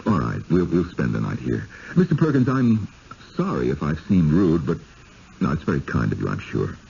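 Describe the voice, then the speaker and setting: A man's voice: a bass voice, wildly swooping pitch, normal speed, creaky, dark tone, exaggerated voice. An actor in a 1970s radio drama playing a skeptical, uneasy husband, hesitant and doubting as he speaks. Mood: reluctant. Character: skeptical, uneasy husband visiting a strange village. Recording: dull, noisy old radio broadcast.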